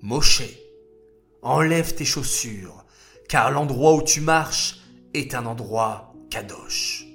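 A man's voice: mid-range voice, animated, takes it slowly, fairly bright tone, soft background music. A man voicing God speaking from a burning bush in a children's story. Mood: solemn. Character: divine voice, male, commanding.

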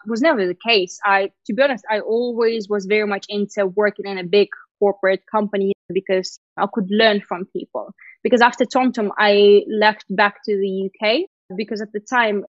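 A woman's voice: medium pitch, moderate pace, dry recording, lo-fi sound. A woman in her thirties who grew up in Lithuania with Russian as her first language, telling her story candidly as an interview guest. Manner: candid, animated storytelling with frequent laughs. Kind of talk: casual interview chat.

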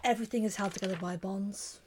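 Woman, mid pitch, podcast co-host, a bit hesitant, reads out emails. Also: steady tone, fast talker, a little mellow, a little hiss or hum. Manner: hesitant with fillers, reads listener mail aloud. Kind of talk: casual educational podcast chat.

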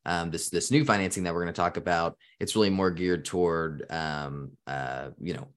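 A male podcast host with a very deep, low voice asks long questions that wander and restart as he works toward the point. His tone is plain and conversational. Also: steady tone, moderate pace. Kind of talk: podcast interview.

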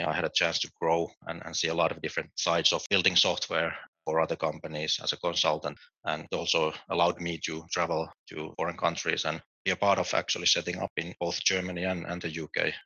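A man's voice: deep voice, flat delivery, brisk, sharp tone, no room sound. A middle-aged man from Finland, explaining things steadily in a podcast interview with small restarts. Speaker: guest, tech executive. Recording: dull, lo-fi dry audio.

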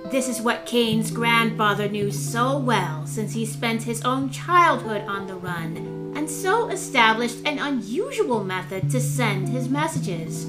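A woman plays an unflappable, sharp-witted female aide in a theatrical audio drama. Her voice sits a little high, brisk and dryly self-possessed, with music clearly playing behind it. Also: expressive, rough recording. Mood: reflective, storytelling. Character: Marigold, sharp, unflappable, candid female assistant to a ruler.

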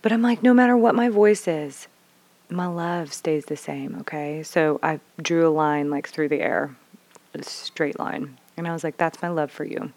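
Woman, 30s, low voice, dry close sound. Candid and expressive storyteller who curses casually, whispers, then bursts out. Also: moderate pace, no echo. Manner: expressive, swears freely, acts out dialogue with big swings from whispers to bursts. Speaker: mother sharing parenting stories.

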